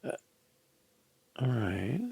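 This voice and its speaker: A man's voice: mid-range voice, animated, very slow, vocal fry, a little mellow, slightly rough, no room sound. An older man thinking aloud as he programs, in a casual, hesitant mutter to himself. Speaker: programmer live-coding a mobile app. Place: small indoor room at a desk.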